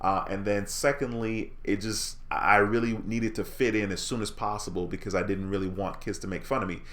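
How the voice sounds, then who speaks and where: Relaxed low-voiced man hosting a stream. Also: brisk. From Jamaica. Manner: relaxed, friendly, reads viewer comments aloud and answers. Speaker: live stream host. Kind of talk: casual live-stream chat reading comments.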